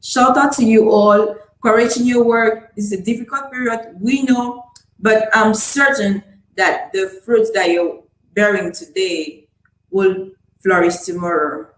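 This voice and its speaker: A young woman from Cameroon with a slightly high voice co-hosts an interview from an echoey room. She talks effusively and heaps praise on her guest. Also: measured pace. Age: young adult. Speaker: co-host. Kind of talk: casual interview chat.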